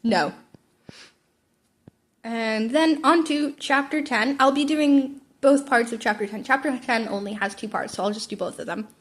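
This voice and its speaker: A woman's voice: high-pitched, moderate pace, hardly any pauses. A woman reading fan fiction aloud informally, as an amateur audiobook, now and then stumbling and rereading a line. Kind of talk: story read aloud with character voices.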